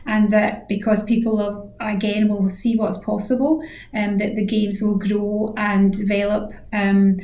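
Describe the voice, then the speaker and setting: A woman's voice: mid-range voice, level tone, a little slow, warm tone, slight background noise. Scottish woman in her sixties, echoey room. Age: 60s. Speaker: radio interview guest, head of physiotherapy. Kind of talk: conversational interview answers.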